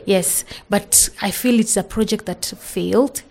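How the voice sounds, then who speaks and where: A woman's voice: medium pitch, a little slow, creaky, a little mellow. A woman from Kenya with a Kenyan English accent and Swahili as her first language, speaking earnestly as an interview guest, with many restarts and "you know" fillers. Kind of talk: podcast interview.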